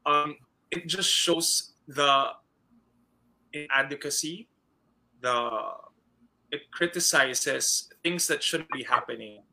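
A man's voice: a little high, takes it slowly, pauses often, sharp tone, low-quality recording. A man from the Philippines with a Filipino accent, hosting a casual conversation and talking thoughtfully, often stopping to search for words.

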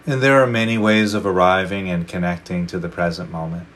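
A man leading a guided meditation, speaking slowly and gently with long pauses between phrases. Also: low-pitched, fairly even intonation. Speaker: meditation teacher.

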